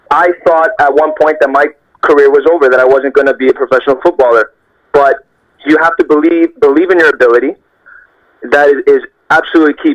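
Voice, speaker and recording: Earnest young man on a muffled phone line. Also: a little high. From Canada. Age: young adult. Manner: earnest, repeats phrases for emphasis. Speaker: interview guest, professional footballer. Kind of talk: phone interview, reflective storytelling.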